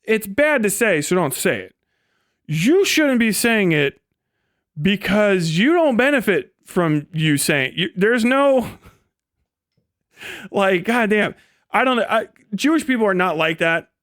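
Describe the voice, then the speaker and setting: A man talks off the cuff as a live commentator, in a fairly high, very clear voice recorded close and dry. His delivery is rambling and incredulous, full of slang and swearing. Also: big pitch swings, takes it slowly, a bit bright, no echo. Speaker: live streamer and political commentator. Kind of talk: casual unscripted livestream commentary.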